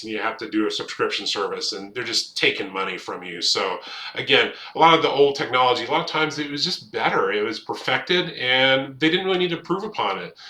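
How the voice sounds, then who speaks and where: A middle-aged man hosts his own video channel with a bright, forward voice. He offers casual advice in plain words, feeling his way through sentences without a script. The room adds a noticeable echo. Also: mid-range voice. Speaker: host of a history advice channel. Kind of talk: casual talk to camera, sharing advice. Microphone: desktop webcam setup. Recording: roomy, echoing room sound.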